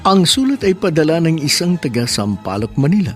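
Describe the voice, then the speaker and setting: A man narrates a romantic radio drama in a polite, formal host's manner, his voice a little high. Soft music runs behind him. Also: big pitch swings, brisk. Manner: warm, formal, polite address.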